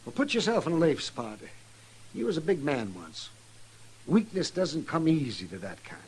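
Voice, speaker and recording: An actor playing a grave, candid small-town doctor in a 1950s radio western, with a husky voice. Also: male voice, on the high side, big pitch swings, normal speed, a little mellow, rough recording. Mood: matter-of-fact.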